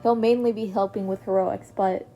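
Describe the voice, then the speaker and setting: A woman's voice: mid-range voice, fairly even intonation, moderate pace, dull audio, faint music behind, lightly acted. A woman voicing a weary, matter-of-fact male homeroom teacher in a fan story reading. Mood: dry.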